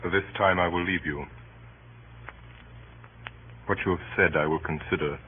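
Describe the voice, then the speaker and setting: An actor playing an honorable, troubled Roman nobleman with solemn, measured delivery in radio Shakespeare. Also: sits low, fairly even intonation, lightly acted. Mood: grave, reserved. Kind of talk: 1930s radio Shakespeare acting. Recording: tinny, hissy old radio recording.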